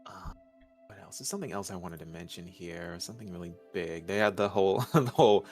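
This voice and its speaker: A man as a guest on a stream, chatting casually in an animated, informal way. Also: sits low, fairly quick, soft background music. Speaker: guest on a game discussion stream. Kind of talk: casual chat.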